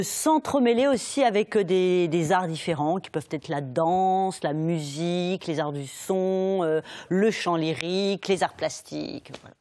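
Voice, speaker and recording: A woman's voice: mid-range voice, darkish tone. A woman storyteller talking in an explanatory interview way, thoughtfully rephrasing as she goes. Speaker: storyteller with a theatre company.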